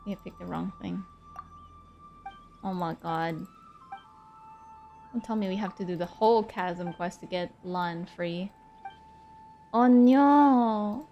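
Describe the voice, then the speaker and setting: A woman's voice: lowish voice, slow pace, long pauses, muffled recording, faint music behind. A woman streaming a video game, chatting casually, exclaiming and laughing often as she plays. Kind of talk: casual gameplay commentary.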